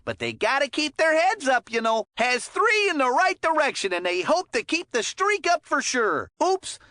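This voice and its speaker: High, chummy hockey commentator. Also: female voice, big pitch swings, normal speed, a bit bright, no echo, clean studio sound. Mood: concerned but hopeful. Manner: folksy, chummy, slangy and encouraging. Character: chummy, upbeat, folksy kids' hockey commentator. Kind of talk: video game sports commentary voicelines.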